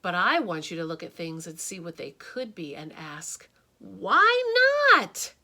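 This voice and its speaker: Gentle storybook teacher, bright tone, unhurried, as a woman reader voices her. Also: fairly low pitch, big pitch swings, heavily acted. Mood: encouraging. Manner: gentle, warm and encouraging. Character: kind wistful woman teacher, encouraging.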